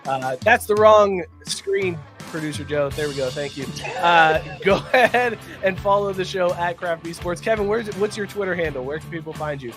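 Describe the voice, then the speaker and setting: Male podcast host, fairly high voice, casual chat, faint music. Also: normal speed, few pauses.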